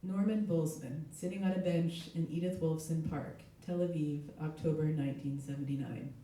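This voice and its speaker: A middle-aged woman, a literary translator, reading aloud at a live event, her voice hoarse with a cold. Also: sits low, warm tone. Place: echoey indoor event space. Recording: very echoey room, distant mic.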